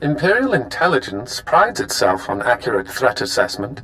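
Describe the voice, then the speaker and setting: A man's voice: mid-range voice, sing-song, pushed and bright, soft background music, poor audio. Cool, calculating male spy villain. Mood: smug, confident. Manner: measured, formal, smugly confident. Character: cool, calculating male imperial intelligence agent. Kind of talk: scripted video game dialogue.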